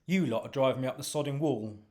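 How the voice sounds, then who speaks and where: A man voicing a sharp-tongued woman who leads a team, exasperated and swearing. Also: mid-range voice, quick pace, voice pushed up, lightly acted.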